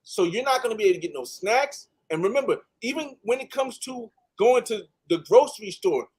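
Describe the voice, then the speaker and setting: A man's voice: high-pitched, expressive, brisk, darkish tone, breathy edge. A man from the United States talking casually on a panel, emphatic and repeating phrases for stress. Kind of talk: casual panel talk, travel advice.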